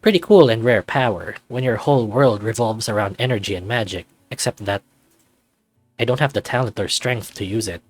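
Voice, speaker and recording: A man's voice: mid-range voice, no room sound, natural voice. A man voicing a sarcastic, anxious young furry student in a visual novel, narrating in a wry, self-deprecating, conversational way. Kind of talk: visual novel character voice-over.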